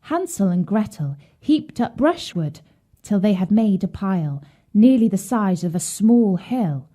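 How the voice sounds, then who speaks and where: A woman storyteller with a soft-edged, mellow tone and a medium pitch. She reads scripted bedtime narration for children. Her delivery is measured and expressive.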